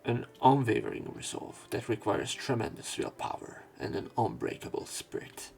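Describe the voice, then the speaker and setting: A man reading a visual novel aloud as a let's player, in an expressive, dramatic narration style. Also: lowish voice, moderate pace, creaky. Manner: expressive, with emotional swells.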